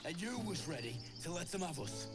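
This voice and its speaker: Blustering, surly mercenary, animated pitch. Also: male voice, a little fast. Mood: defiant, bitter. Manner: blustering, rough working-class grammar. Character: surly, defiant male mercenary in fantasy game. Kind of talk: video game voice acting. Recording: loud game music behind dialogue.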